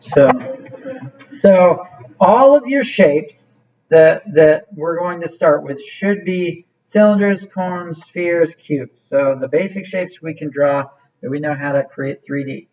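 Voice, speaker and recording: Man, art teacher, casual step-by-step talk, slightly higher voice, distant muffled recording. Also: slow. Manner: casual, step-by-step instruction with fillers. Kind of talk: clay modeling demonstration. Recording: muffled sound from a distant mic.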